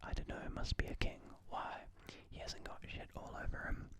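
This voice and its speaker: An ASMR host reading out quotes in a breathy, unvoiced whisper, with deliberate phrasing and pauses.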